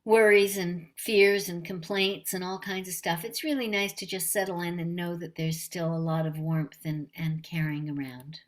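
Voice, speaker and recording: A woman with a light voice, talking warmly and unhurriedly as a guest in a casual interview. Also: on the low side, fairly even intonation. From Canada. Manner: warm, friendly, unhurried. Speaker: voice actress guest.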